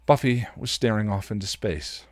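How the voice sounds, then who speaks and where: A man reading fan fiction aloud as a podcast host, in expressive story narration. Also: lowish voice, moderate pace, dry recording, studio quality.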